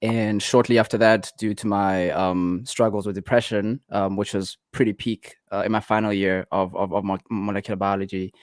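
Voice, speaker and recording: Low-voiced South African man, relaxed. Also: moderate pace, few pauses, no echo, studio quality. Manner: relaxed, many fillers and restarts. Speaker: guest artist. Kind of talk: casual interview talk.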